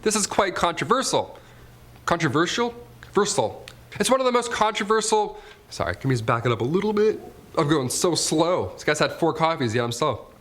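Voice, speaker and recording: A man's voice: a little high, sing-song, brisk, fairly bright tone, a little hiss or hum. A man hosting a top ten list, mixing scripted countdown narration with casual, joking, sarcastic asides. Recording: music bed behind the voice. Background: background music.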